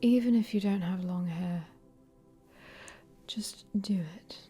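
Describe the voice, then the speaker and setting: A woman voicing an easygoing, cheeky meditation guide in a breathy ASMR whisper, with gentle, rambling instructions. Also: medium pitch, takes it slowly, close to an everyday voice. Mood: soothing, gently cheeky. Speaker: ASMR host leading a relaxation session.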